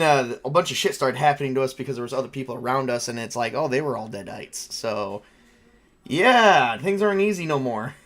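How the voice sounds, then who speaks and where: A man's voice: a little high, a bit bright. A man running a tabletop role-playing session as game master, talking casually and repeating phrases as he thinks aloud. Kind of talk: casual unscripted game narration and chat.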